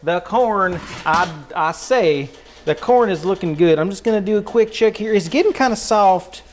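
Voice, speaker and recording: A man putting on an American Southern country accent as a goofy, excitable countryman, rambling casually to a livestream chat in a folksy drawl. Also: sits high, normal speed. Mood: folksy, musing. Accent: put-on American country, Southern. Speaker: live streamer cooking for chat.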